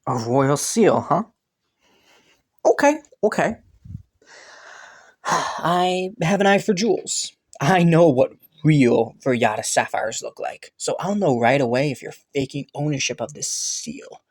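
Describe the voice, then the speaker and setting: A man's voice: a little high, animated, takes it slowly, full character voice. A man playing a haughty, teasing young crown prince in a romantic fantasy audio roleplay, suspicious yet intrigued, with a smug manner. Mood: teasing, skeptical. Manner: teasing, smug, chuckling and sighing between phrases.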